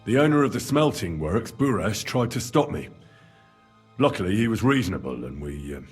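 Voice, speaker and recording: A young adventurer in a medieval game. His voice sits low with a husky grain, and his delivery is terse, guarded and matter-of-fact. Faint music plays behind him. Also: very animated, moderate pace. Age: young adult. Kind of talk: video game cutscene acting.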